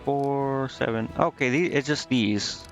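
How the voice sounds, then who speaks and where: Gravelly male game streamer, music behind. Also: medium pitch, unhurried. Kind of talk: casual gaming stream commentary, reading game text aloud and thinking out loud.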